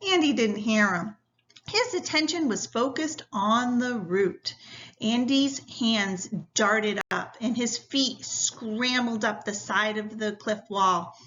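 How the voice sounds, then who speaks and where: A woman with a fairly high, very bright voice reads a story aloud in the manner of a teacher. She takes her time, and the recording is slightly dull. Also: slow pace. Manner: instructive, addressing pupils directly.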